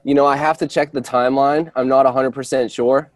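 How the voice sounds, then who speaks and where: A man working as a real estate agent, giving an informal update on a work call in a hesitant, halting way with false starts. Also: mid-range voice, fairly even intonation, no echo. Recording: dry conference call audio.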